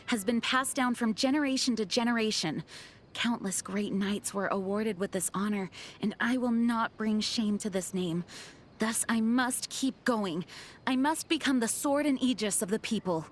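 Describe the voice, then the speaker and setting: A woman voicing an earnest, dutiful young knight commander in a video game, speaking in a formal, measured way. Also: on the high side, a little hiss or hum, close to an everyday voice. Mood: earnest resolve. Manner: formal, measured, solemn diction. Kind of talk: video game character voice lines.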